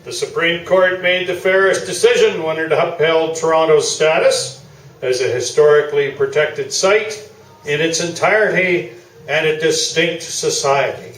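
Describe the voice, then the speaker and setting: Pompous male sports columnist, played by an actor, high-pitched, orating, radio play on a roomy stage. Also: measured pace, fairly bright tone. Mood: grandiose. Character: pompous old-time male sports columnist, self-satisfied, windy. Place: small theatre, live performance. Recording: roomy stage recording from far off.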